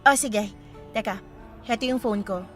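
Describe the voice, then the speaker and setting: An actress playing a young single woman in a radio romance drama, coy, teasing and expressive as she talks with a man she likes. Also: mid-range voice, moderate pace, soft background music, lightly acted. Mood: bashful, pleased. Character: young single woman shyly smitten with a travel acquaintance.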